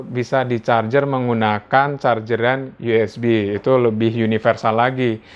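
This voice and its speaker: A man's voice: medium pitch, clear, resonant tone, lo-fi sound. A man with an Indonesian accent giving a casual, conversational unboxing narration as a product reviewer, explaining things informally. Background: background music.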